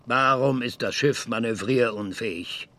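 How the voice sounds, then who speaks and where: An actor playing an older, hot-tempered retired space scientist in a radio drama, with a halting, blustering manner. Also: male voice, mid-range voice, sharp tone. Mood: angry, indignant. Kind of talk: German radio drama acting.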